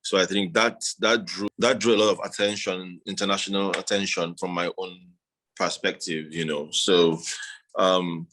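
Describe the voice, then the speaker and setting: Deep-voiced man, measured and reflective. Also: steady tone, fairly bright tone. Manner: measured, reflective, frequent "you know". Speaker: guest. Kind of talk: casual interview.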